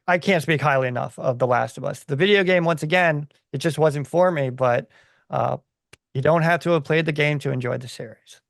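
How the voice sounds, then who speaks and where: A man giving his opinions in a casual podcast chat, enthusiastic but halting as he thinks aloud. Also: a little high, vocal fry, dry recording, clean studio sound.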